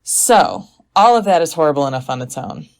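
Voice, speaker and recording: A woman's voice: low-pitched, lively intonation, fairly quick, a little mellow. A woman playing a skeptical, dryly exasperated podcaster, telling tales in casual, matter-of-fact banter. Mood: dry, grisly relish. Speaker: podcast co-host. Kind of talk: casual scripted storytelling banter.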